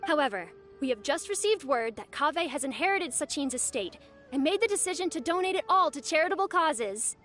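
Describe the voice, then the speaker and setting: A woman's voice: high voice, lively intonation, a little fast, a bit bright, soft background music. A woman voicing a gracious, polished ceremony announcer in a video game, with formal delivery. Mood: formal, pleased.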